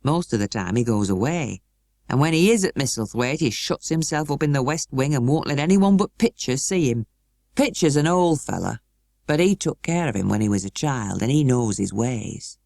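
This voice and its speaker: A woman's voice: extremely low pitch, animated, moderate pace, creaky, a little mellow, no room sound, clean studio sound, heavily acted. A woman voicing a blunt, stern middle-aged housekeeper who gives orders in a matter-of-fact, scolding way, for a storybook. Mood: gossipy, blunt.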